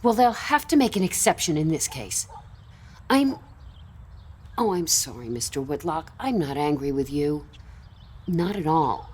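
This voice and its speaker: A woman's voice: sits low, big pitch swings, unhurried, long pauses, darkish tone, slightly rough, full character voice. A woman playing a distraught, pleading middle-aged mother in an audio drama, her delivery emotional and faltering. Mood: desperate.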